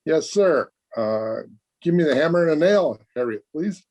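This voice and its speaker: A man plays a teenage schoolboy in a radio comedy. He is eager and excitable, with a raised pitch and very animated intonation. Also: moderate pace, natural voice. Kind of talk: old-time radio comedy acting.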